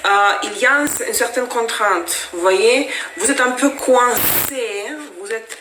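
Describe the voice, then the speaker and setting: A woman's voice: fairly high pitch, measured pace, noisy background. A woman whose first language is Russian, giving a casual tarot reading to viewers in a chatty, explaining way with frequent hesitations. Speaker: tarot reader. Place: at home with her cat. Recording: echoey room.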